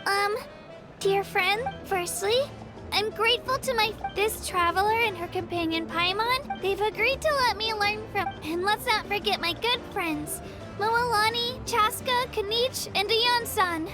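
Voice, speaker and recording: A woman voicing an earnest, devoted young girl in an animated game. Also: very high voice, a little slow, echoey room, music in the background. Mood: bashful, grateful. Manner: earnest, youthful.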